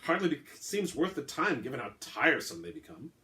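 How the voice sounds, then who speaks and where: A man's voice: mid-range voice, very animated, brisk, sharp tone. A man voicing a suave, haughty centuries-old vampire with formal, pompous phrasing, in a dramatic story reading. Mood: urbane, amused. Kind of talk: dramatic reading of an all-dialogue short story.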